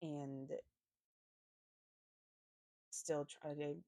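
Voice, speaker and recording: A woman with a slightly raspy voice giving a casual craft voiceover, rambling hesitantly and often correcting herself as she goes. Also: deep voice, flat delivery, very slow, dark tone, dry recording, studio quality. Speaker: planner vlogger and host.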